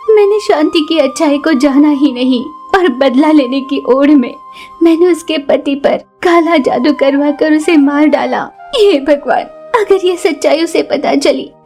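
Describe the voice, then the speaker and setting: A woman's voice: high-pitched, darkish tone. A woman voicing a jealous, guilt-ridden young village woman in a dramatic, emotional cartoon story.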